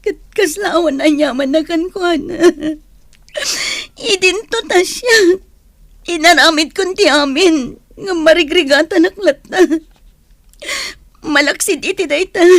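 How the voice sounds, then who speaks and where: An envious woman office schemer with a very high, emotive voice, recorded close and dry. She draws out her words in melodramatic radio-play style. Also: measured pace, a bit bright, dry recording, full character voice. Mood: tearful pleading. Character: scheming envious female office worker, pleading and remorseful when caught. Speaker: radio drama actress. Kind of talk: Filipino radio drama acting in Ilocano.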